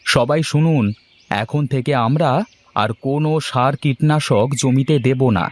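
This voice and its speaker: A man's voice: medium pitch, unhurried, lightly acted. A man voicing an earnest, humble and emotional village farmer in a cartoon story. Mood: resolute.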